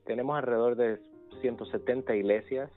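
A man speaks casually as an interview guest, halting and repeating words as he finds his phrasing. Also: medium pitch, steady tone, phone-quality sound, soft background music. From El Salvador. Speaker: interview guest, youth ministries pastor. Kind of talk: casual spoken testimony.

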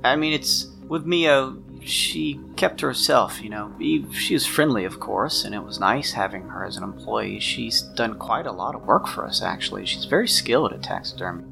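A man's voice: medium pitch, animated, normal speed, gravelly, few pauses, over music. A man playing a helpful, unassuming shop owner in a tabletop game, hesitant and accommodating as he talks. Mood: hesitant, concerned.

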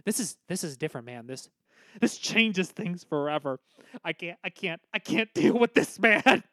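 A performer playing a distraught, guilt-ridden teenage boy, the class clown, halting and overwrought in a comedic audio drama. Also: medium pitch, animated, a bit bright, no echo, studio quality. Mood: anguished, despairing.

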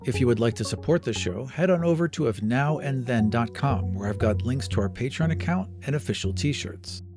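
Guy narrating a story podcast, dramatic deliberate delivery, really lively pitch, music underneath.

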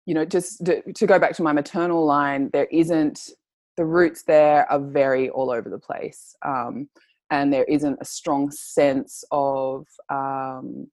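Low-voiced aussie woman telling her story on a call, earnest, lots of ums, dry sound. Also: fairly even intonation, takes it slowly, darkish tone, no echo. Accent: Australian. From Australia. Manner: earnest, searching for words, many ums. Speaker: guest. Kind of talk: reflective personal storytelling.

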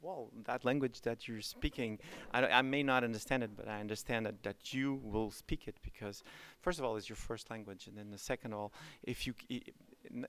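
A man's voice: medium pitch, steady tone, fairly quick, hardly any pauses, a bit bright, a little hiss or hum. A man hosting a podcast, chatting casually with his guest and often restarting his sentences. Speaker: podcast host. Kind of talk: conversational interview.

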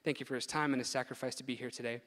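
Fast-talking man introducing in a church. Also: medium pitch, fairly even intonation, fairly bright tone. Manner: quick and a little halting, with fillers. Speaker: church member introducing the speaker. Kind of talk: introduction and prayer. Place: church hall. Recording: long church hall reverb.

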